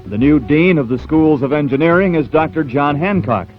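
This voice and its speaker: A man's voice: a little high, moderate pace, narrow, tinny audio, soft background music. A man with an American accent reading scripted narration as a newsreel narrator, on a 1970s soundtrack.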